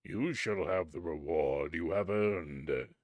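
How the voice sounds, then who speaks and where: A man voicing a huge, terrible male spirit who speaks in a booming, menacing way. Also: slow, dry recording.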